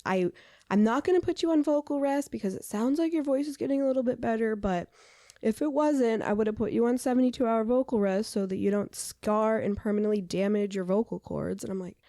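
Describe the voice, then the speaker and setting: A young adult woman co-hosting a podcast in casual chat, her voice hoarse and raspy from illness, with a dry, deadpan delivery. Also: a little high, fairly quick, no room sound. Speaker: podcast co-host.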